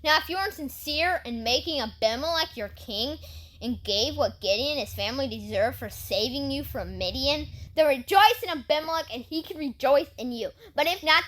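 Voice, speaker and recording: A woman's voice: high-pitched, sharp tone, exaggerated voice. A woman voicing a righteous, defiant boy who declaims his accusation in an audio Bible drama. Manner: declaiming, calling out from afar.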